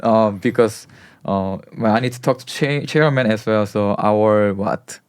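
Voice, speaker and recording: Korean-accented man, halting English, lowish voice. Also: clear, resonant tone, no echo. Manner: halting, searching for English words, laughs often. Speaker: medical checkup center manager, guest. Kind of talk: casual interview chat.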